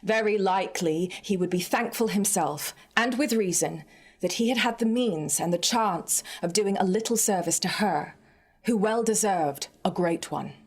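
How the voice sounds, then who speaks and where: A woman voicing a grave, fatherly gentleman, gentle and courteous, in a dramatic literary reading. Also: mid-range voice, normal speed, breathy edge, close to an everyday voice. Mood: modest, kind.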